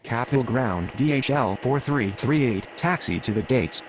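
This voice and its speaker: A man's voice: mid-range voice, lively intonation, moderate pace. A man speaking clipped, procedural air traffic radio phrases in a game.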